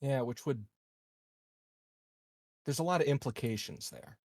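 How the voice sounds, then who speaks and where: Cynical space-colony security man, roleplayed by a man, fry-laden voice, mid pitch, blunt and halting, tabletop game. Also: a little mellow, no echo, studio quality. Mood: wry, suspicious. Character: cynical, sardonic male colony security operative. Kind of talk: in-character tabletop roleplay dialogue.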